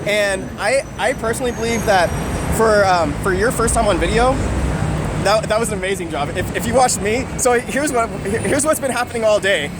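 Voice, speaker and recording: A man's voice: sits high, moderate pace. A man hosting a vlog, talking eagerly into a handheld camera outdoors and interviewing a friend. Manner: upbeat, eager, repeats himself. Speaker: vlog host. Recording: loud outdoor traffic noise.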